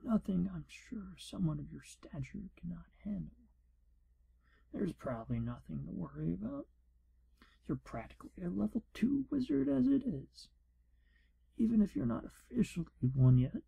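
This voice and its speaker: A shifty, pompous male wizard proctor, acted out by a man for an ASMR fantasy role play. The voice is very dark and hushed, full of husky breath and heavy creak. The delivery is wry and theatrical, as if by a self-important examiner dodging questions. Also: high-pitched, big pitch swings, moderate pace, exaggerated voice, poor audio. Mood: falsely reassuring. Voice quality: hushed, breathy, half-whispered.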